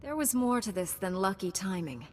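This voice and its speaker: Jedi knight role, video game: female actor, medium pitch, composed, firm, dry, roomy reverb. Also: lively intonation, normal speed, a little mellow, slight background noise, lightly acted. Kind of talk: video game cutscene acting. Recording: reverberant game audio, faint music.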